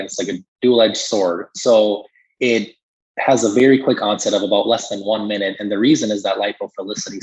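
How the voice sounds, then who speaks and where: A man's voice: lowish voice, slightly rough, lo-fi sound. An American man giving a conversational medical explanation in an informal, explanatory manner, like a podcast expert.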